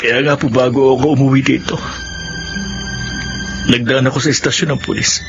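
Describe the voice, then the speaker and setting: A man's voice: medium pitch, little pitch movement, moderate pace, music in the background, lightly acted. An actor playing a remorseful, intense young man in a radio drama, respectful to elders yet anguished. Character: troubled, remorseful younger brother burning for revenge. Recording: dull lo-fi radio drama recording.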